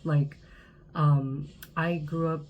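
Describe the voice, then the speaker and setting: Low-voiced congested woman vlogger. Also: little pitch movement, very slow, muffled recording, a little hiss or hum. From Southern California. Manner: chatty, frequent "okay?" check-ins and fillers. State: congested from a cold. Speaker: channel host. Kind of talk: casual talk to camera.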